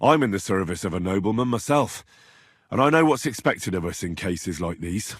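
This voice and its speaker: An actor playing an earnest, blunt young medieval squire in a video game, plain-spoken and a little husky. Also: male voice, lowish voice, expressive, normal speed, no echo, clean studio sound. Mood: courteous. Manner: plain-spoken, polite to nobles, bluntly challenging when provoked. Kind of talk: video game dialogue acting.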